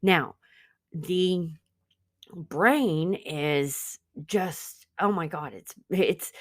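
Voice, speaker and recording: A woman's voice: sits low, dry recording, clean studio sound. A woman who speaks English as a second language, hosting an informal spiritual self-help teaching, earnest and conversational, addressing listeners directly. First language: not English. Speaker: podcast host and spiritual business coach.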